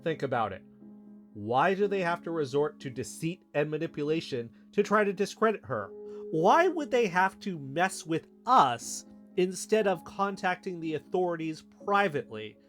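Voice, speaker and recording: Kyle, defensive, acted by a man, very animated pitch. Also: moderate pace, soft background music. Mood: insistent, protective. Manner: defensive, argumentative. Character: Kyle, defensive young man accused of betraying his friends. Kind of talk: naturalistic audio drama dialogue.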